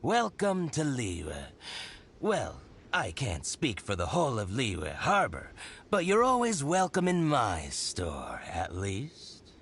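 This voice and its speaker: A man's voice: mid-range voice, huge pitch swings, takes it slowly, creaky, a bit bright, slight background noise, heavily acted. A man voicing a sly, genial small-time merchant in an anime game, courteous, teasing and theatrical. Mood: genial, smooth.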